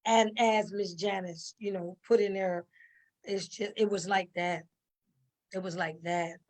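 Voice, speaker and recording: A woman's voice: mid-range voice, steady tone, a little slow, darkish tone. A middle-aged woman answering conversationally, telling stories emphatically with repetition and quoted asides. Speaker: stage play cast member.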